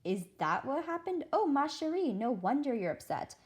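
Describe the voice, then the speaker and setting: Storybook teacher, voiced by a woman narrator: gentle, sympathetic and a little dramatic, with lively intonation. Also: high-pitched, moderate pace, voice pushed up. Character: kind young female French teacher, gently sympathetic.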